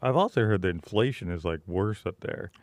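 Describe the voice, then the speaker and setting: Very deep gravelly-voiced man. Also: animated, no room sound, clean studio sound. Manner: halting, many fillers, chuckles. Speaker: co-host. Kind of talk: casual talk show chat.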